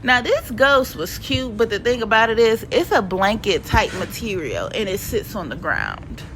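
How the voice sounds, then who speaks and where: A woman's voice: a little high, animated, moderate pace, a bit bright. A woman narrates her shopping vlog in a casual, playful, slangy way. Her voice sounds stuffy and sniffly from a cold. A faint background hiss sits under the home recording. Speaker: vlogger.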